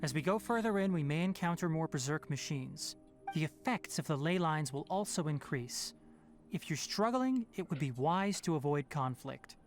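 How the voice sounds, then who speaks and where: A man playing a young, practical forest ranger in a video game, speaking in a measured, precise, instructive way. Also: fairly high pitch, lively intonation. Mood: measured, cautious. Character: young male fox-eared forest ranger, calm, practical, fastidious. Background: game music.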